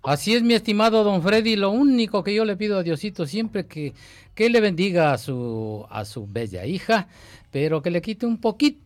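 Guy hosting radio, kinda high voice, jokey and polite, dry sound. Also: animated, normal speed, no echo, soft background music. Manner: jovial, teasing, formally polite. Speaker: radio show host. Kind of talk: casual radio chat.

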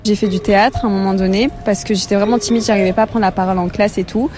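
A young woman giving interview answers in a relaxed, reflective way. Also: medium pitch, racing, slight background noise. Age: young adult.

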